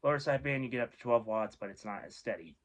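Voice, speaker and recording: A man's voice: mid-range voice, fast talker, lo-fi sound. A man giving a casual, informal explainer as a video host, rambling and offhand, often correcting himself. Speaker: host of a radio hobby video series.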